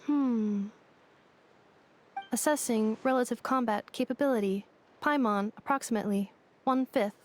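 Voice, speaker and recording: A woman voicing a calm female android in a game, speaking in a flat, formal and precise way. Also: fairly high pitch, normal speed, pauses often, lightly acted. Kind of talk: game voice acting.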